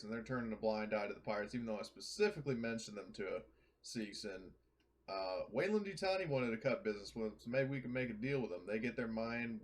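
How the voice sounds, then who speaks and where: A man playing a tabletop roleplaying game, talking casually in and out of character in a blunt, argumentative way. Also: mid-range voice.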